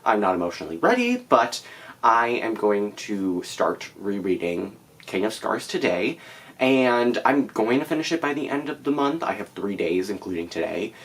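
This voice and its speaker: A man's voice: fairly low pitch, very animated, normal speed, a bit bright, poor audio. A teenage boy with a stuffy nose from a cold, chatting casually to camera about his reading plans with many fillers. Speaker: book vlogger.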